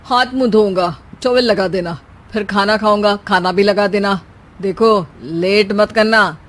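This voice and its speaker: A woman's voice: mid-range voice, a little slow, lightly acted. A woman voicing a bossy young husband in a cartoon who keeps making joking remarks to his wife. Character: bossy teasing young husband, office worker. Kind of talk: cartoon voice acting.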